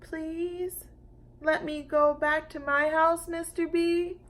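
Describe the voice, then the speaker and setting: A woman's voice: very high voice, flat delivery, takes it slowly, fairly bright tone, slight background noise, pitched above the performer's own voice, heavily acted. A woman voicing a bossy, snippy little girl character from a children's storybook, speaking emphatically. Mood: pleading.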